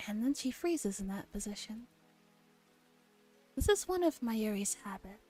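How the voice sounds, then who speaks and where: A woman streamer gently reading a visual novel aloud in a soft ASMR style, with relaxed casual asides. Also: medium pitch, a little slow. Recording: music behind the voice.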